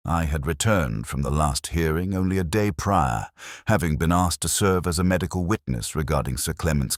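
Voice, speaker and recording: Male story narrator, extremely low register, solemn and literary, with swooping intonation. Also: no echo, clean studio sound. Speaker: audiobook narrator. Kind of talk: scripted narration of a Victorian detective story.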